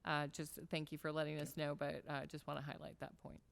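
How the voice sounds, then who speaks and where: Woman, very low voice, flat, smooth, earnest board member. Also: brisk. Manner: earnest, wry humor.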